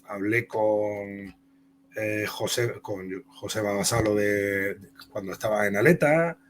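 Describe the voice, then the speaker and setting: A man's voice: on the low side, takes it slowly, slight background noise. A man from Spain with a Castilian Spanish accent, telling career anecdotes as an interview guest in a rambling, conversational way. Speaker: comic book author and interview guest.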